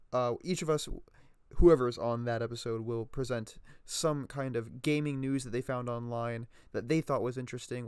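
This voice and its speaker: A man hosts a casual talk podcast in a chatty way. His voice is mid-pitched and smooth, recorded dry and close. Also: a little mellow, dry recording. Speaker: podcast host.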